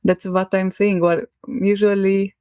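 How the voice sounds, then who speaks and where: A woman's voice: medium pitch, little pitch movement, unhurried, darkish tone, clear, resonant tone, no echo, clean studio sound. A young woman with a Hungarian accent, a podcast guest talking in a rambling, hesitant way and laughing often between thoughts. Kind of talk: casual conversation.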